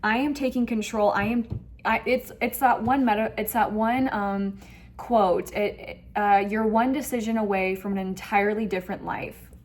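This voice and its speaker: A woman's voice: medium pitch, steady tone, moderate pace. A woman giving a spiritual tarot reading to viewers, speaking in an effusive, emphatic way and often repeating phrases. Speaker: tarot reader hosting an online reading.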